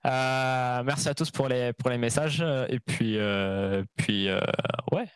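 A man's voice: mid-range voice, slow pace, smooth, dry recording. Casting note: male pro gamer, casual and slangy, dry close mic. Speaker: esports player. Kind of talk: post-match interview.

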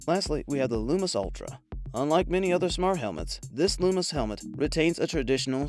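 A man delivers scripted narration for a product roundup. His voice sits at a medium pitch, and the read is measured and promotional. Music plays behind him. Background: background music.

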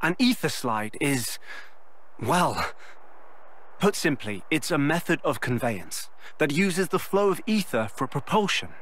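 An actor playing a composed, scholarly inventor and leader in a video game, speaking in a polite, measured, explanatory way. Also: male voice, fairly high pitch, sing-song, a bit bright. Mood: calm, explanatory. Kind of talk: video game cutscene acting.

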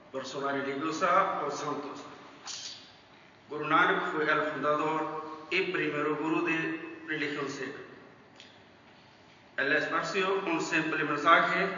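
Slow, bright older man in an echoey hall. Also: on the high side, a little hiss or hum. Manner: slow, measured, speaking from a seated panel. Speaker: president of a Sikh association. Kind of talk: formal speech at an interfaith conference. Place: large wood-panelled hall. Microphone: table microphone, recorded by far camera. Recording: echoey hall from far off, dull sound.

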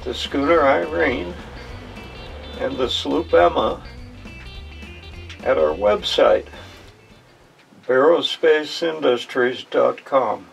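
A man in his sixties with a gravelly voice, hosting an instructional hobby video in an unhurried, folksy storytelling way. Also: fairly high pitch, faint music behind. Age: 60s. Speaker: host of a model sailing channel. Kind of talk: relaxed instructional narration to camera. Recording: distant mic, faint outdoor noise.

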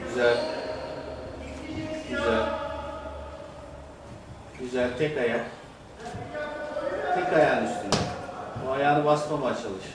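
A male basketball coach calling out short training commands and quick praise in an indoor gym. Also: medium pitch. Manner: short barked commands, encouraging. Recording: distant, echoey gym on camera mic, noisy.

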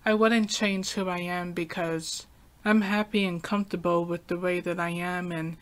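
A young adult woman hosting a podcast, talking through a calm, soft-spoken, reflective solo monologue. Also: on the low side, moderate pace. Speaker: podcast host.

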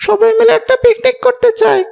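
A woman's voice: very high-pitched, flat delivery, fast, vocal fry, darkish tone, heavily acted. A woman voicing an eager, curious little boy in a cartoon. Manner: eager, questioning.

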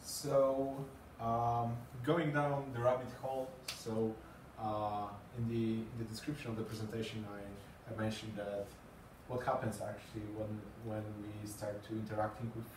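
A man's voice: on the low side, little pitch movement, measured pace, darkish tone, a little hiss or hum. A man in his thirties with a Polish accent giving a talk to a small group, hesitant with many fillers and repeated words. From Poland. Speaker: conference speaker. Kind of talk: presentation talk. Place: small meeting room with green walls and a screen. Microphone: far camera, no mic seen. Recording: echoey room from far off.

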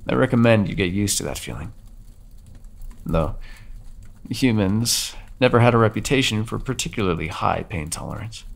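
A man's voice: animated, moderate pace, pauses often. A man voicing a centuries-old male vampire in an ASMR audio roleplay, taunting and mocking the listener he calls dearie. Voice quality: low gravelly voice, husky.

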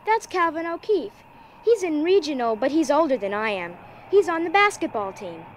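A woman's voice: sits high, sing-song, normal speed, slight background noise. A woman playing an eager, anxious young teenage girl in an audio drama. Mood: curious.

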